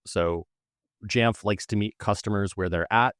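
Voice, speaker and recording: A man's voice: on the low side, measured pace, fairly bright tone, no room sound, studio quality. A man being interviewed as a guest, explaining how his support team works in a conversational way. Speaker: technical support manager, guest.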